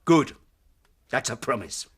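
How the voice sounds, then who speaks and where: Effusive, swooping British company recruiter. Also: male voice, medium pitch, animated, slow pace, husky. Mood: jovial, hearty. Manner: effusive, theatrical, grandiloquent. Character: hearty, persuasive male company recruiter, smooth swindler. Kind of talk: period British radio drama acting.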